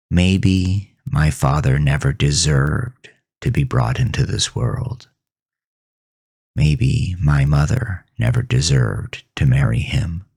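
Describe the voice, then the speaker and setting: A man playing a haunted, bitter farmer who narrates his horror story in a grave, brooding, gravelly voice. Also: very deep voice, full character voice. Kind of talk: scripted first-person horror narration.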